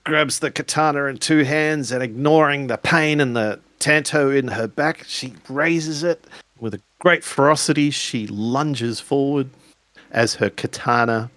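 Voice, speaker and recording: A man improvising narration for a tabletop roleplaying game, speaking in a halting, deliberate way. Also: mid-range voice, fairly bright tone, no echo.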